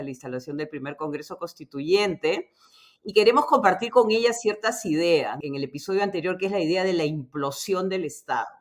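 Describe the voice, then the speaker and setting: A woman hosting an academic discussion, speaking emphatically and deliberately with frequent tag questions. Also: deep voice. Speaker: host. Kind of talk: interview introduction, academic discussion.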